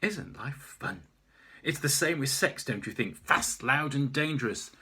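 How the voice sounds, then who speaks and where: A man's voice: medium pitch, lively intonation, measured pace, sharp tone, slightly rough, voice pushed up, full character voice. A man voicing a volatile, deranged young woman artist who threatens and taunts. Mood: taunting.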